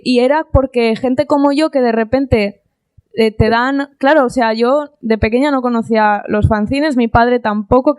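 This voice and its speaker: A woman's voice: on the high side, no echo. Chatty young Spanish woman on a panel. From Gandía, Spain. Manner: animated, chatty, many fillers and quoted asides. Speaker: panel guest. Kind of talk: casual panel debate.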